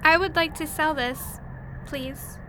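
Over clear background noise, a woman acts out a young alien girl with a high, small voice. She is earnest and desperate, and speaks with careful, formal wording in radio-play style. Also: steady tone, takes it slowly, sharp tone, heavily acted. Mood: polite, earnest. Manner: stiffly formal, avoids contractions. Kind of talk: audio drama acting.

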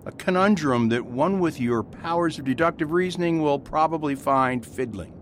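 A man playing a calm, courteous priest detective who speaks in a measured, polite and persistent way, in an amateur radio drama. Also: fairly high pitch, lightly acted. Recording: noisy recording with steady hiss.

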